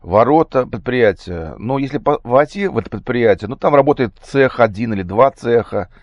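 A man with a slightly hoarse, cold-affected voice giving a casual, halting, off-the-cuff vlog monologue. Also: medium pitch, expressive, no echo. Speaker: vlogger. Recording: dull, muffled lo-fi recording.

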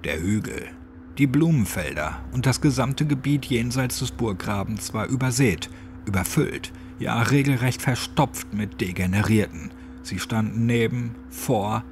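A man narrating a scripted horror story as an audiobook. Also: medium pitch. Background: music.

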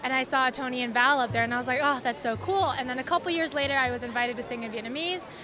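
Bubbly high-voiced young woman, crowd behind. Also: fast talker. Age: young adult. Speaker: cantor. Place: crowded indoor arena floor. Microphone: handheld mic held by interviewer.

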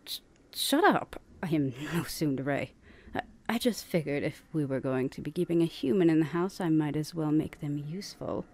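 A centuries-old vampire elder sister played by a woman talks in a very low, dark, velvety voice. She is haughty, stern and formal, an imperious protector in an ASMR audio roleplay. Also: lively intonation, normal speed. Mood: flustered. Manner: formal, imperious, easily flustered.

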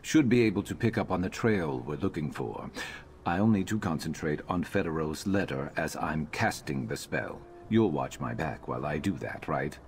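A gruff fantasy game rogue with a very low voice. He is dry and terse, like a conspirator planning a job. Ambient game noise sits behind him. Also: lively intonation, moderate pace. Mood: focused. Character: gruff, sardonic male rogue adventurer in dark armour. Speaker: companion character in a fantasy video game. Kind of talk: scripted game dialogue.

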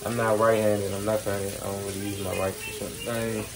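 A man's voice: fairly low pitch, flat delivery, a little fast. A young man chatting casually to his viewers while cooking, joking and slangy, filmed on a handheld phone in a small apartment kitchen. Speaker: vlogger. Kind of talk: casual cooking vlog chat. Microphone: handheld phone camera. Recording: noisy kitchen with frying sizzle.

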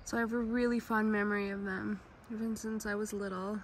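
A woman's voice: medium pitch, little pitch movement, fairly quick, a little hiss or hum. A woman from Canada narrating her vlog in a friendly, chatty way, speaking straight to her viewers. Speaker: vlogger.

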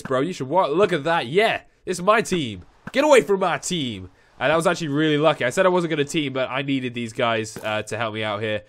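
Excitable young man with a head cold. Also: a little high. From England. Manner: excitable, yelps and shouts when chased. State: nasal from a cold. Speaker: gaming YouTuber. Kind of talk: Minecraft let's play commentary. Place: home room. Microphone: headset.

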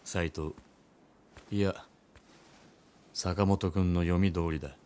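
An actor playing a cool, calculating samurai commander in a game drama, formal, clipped and authoritative. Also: male voice, sits low, fairly even intonation, slow pace, a little mellow, clear, resonant tone. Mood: cool, commanding. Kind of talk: dramatic game cutscene acting.

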